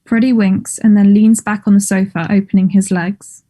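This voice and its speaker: A woman's voice: mid-range voice, fairly even intonation, normal speed, warm tone. A woman reading stage directions as scripted narration for a play, in a matter-of-fact manner.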